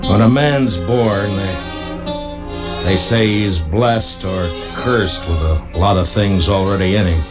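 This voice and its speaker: Deep-voiced, brooding frontier marshal. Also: male voice. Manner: plainspoken, halting, reflective. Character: stoic, weary US Marshal narrating his own Western story. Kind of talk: 1950s Western radio drama acting and narration. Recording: old radio drama recording with music behind.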